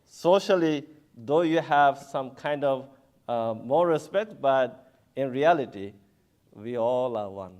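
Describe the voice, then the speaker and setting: A man who is a Buddhist monk from Bangladesh, giving an unhurried symposium talk with frequent chuckles and hesitations. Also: mid-range voice, expressive, darkish tone. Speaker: Buddhist monk, panel speaker.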